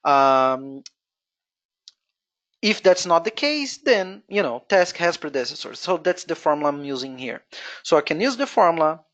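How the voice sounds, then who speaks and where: A Brazilian-accented presenter whose first language is Portuguese, walking through a software screen-share tutorial step by step and thinking aloud as they go. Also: medium pitch, sing-song, normal speed, highs cut off. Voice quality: explains step by step, thinking aloud with frequent "you know". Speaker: webinar presenter.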